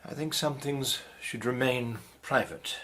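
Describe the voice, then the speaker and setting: A man's voice: mid-range voice, measured pace, close to an everyday voice. An actor playing a guarded, widowed Victorian clergyman in a period radio drama, formal, stiff and hesitant in his speech. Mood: guarded disapproval.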